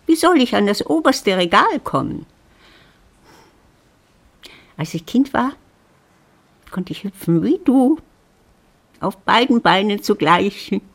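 Creaky old widow, wry and grumbling. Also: female voice, mid-range voice, lively intonation, unhurried. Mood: wry, brooding. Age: older. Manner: musing to herself, wry and grumbling. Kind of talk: German literary radio drama acting.

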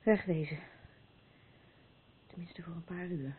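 A worn-out, brooding woman speaks in a radio play. Her voice sits a little low, and the sound is thin and phone-like with some hiss behind it. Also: fairly even intonation, slow. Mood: weary, desperate. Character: worn-out, frayed woman burdened by an unstable man. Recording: thin phone-like old radio recording, noisy.

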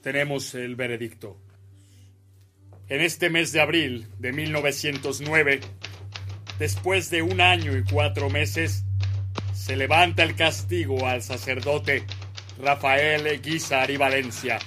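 Slow solemn Mexican church judge. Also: male voice, a little high, steady tone, over music. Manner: formal, declamatory. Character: solemn church judge proclaiming an official verdict. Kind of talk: radio drama acting.

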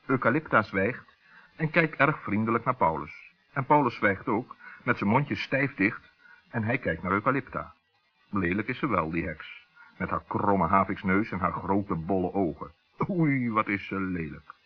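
A man narrates a children's story with lively swings of pitch and a rough, husky tone. It is a dull old radio recording. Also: moderate pace.

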